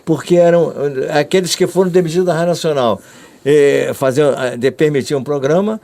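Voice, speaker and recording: An older man with a Brazilian accent recalling past events in an interview, halting and repeating phrases. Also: on the high side, fairly even intonation, mellow. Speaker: interviewee, former radio artist. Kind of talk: spoken recollection in an interview.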